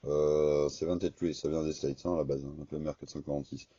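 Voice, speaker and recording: A man with a very low, mellow voice rambles hesitantly through a casual two-way radio chat. The recording is dry and a little dull, and his speech is loose and colloquial. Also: little pitch movement, fast talker, slightly rough, no echo. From France. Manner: rambling, hesitant, colloquial, often ending on "quoi" and "hein". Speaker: radio amateur operator.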